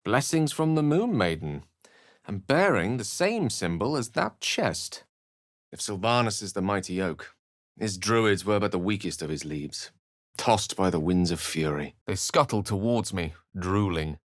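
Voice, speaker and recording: A man's voice: fairly low pitch, expressive, a little slow, no room sound, studio quality. A man acting an eloquent, cultured wizard in a video game, earnest and wry, with articulate, ornate phrasing. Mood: animated.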